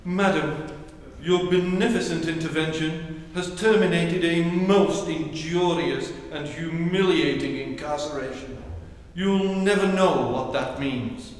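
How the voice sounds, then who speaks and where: A man playing a slow, pompous English butler. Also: a little high, lightly acted. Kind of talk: 1940s radio comedy acting, live stage. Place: theatre stage. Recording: echoey theatre, far from mic, faint hiss.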